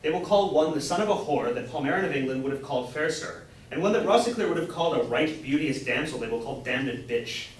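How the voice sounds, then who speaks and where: A young man acting a grocer's apprentice who plays a grandiose, courtly knight-errant in a staged reading of a Jacobean comedy, declaiming in high-flown style. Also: expressive, quick pace, few pauses, close to an everyday voice. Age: young adult. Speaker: student actor. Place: indoor room, far from the mic. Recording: distant, very echoey room with faint noise.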